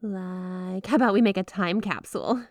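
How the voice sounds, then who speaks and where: A woman's voice: mid-range voice, normal speed, no room sound, studio quality, natural voice. A woman playing a flirty, obsessive yandere wife in an ASMR roleplay, gushing and coy with a possessive edge under the sweetness. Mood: sweet, affectionate. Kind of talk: ASMR roleplay, addressing the listener as spouse. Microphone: close, dry microphone.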